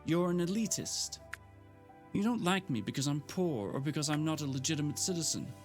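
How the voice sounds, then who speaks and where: A man voicing a young woman in love who pleads and bristles with indignation, pitching his voice up high. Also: very animated, takes it slowly, faint music behind. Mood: indignant.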